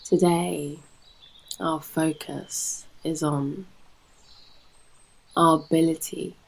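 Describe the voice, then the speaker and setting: A British woman guiding a yoga nidra meditation in a soft, breathy, soothing manner with long pauses. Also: deep voice, flat delivery, slight background noise, poor audio. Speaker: yoga nidra guide and host. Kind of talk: guided meditation narration.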